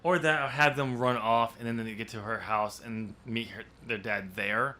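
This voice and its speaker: A man hosting a podcast in casual, unscripted discussion, talking in a halting, rambling way as he thinks aloud. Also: medium pitch, steady tone, bright, forceful tone. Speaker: podcast host and amateur novelist.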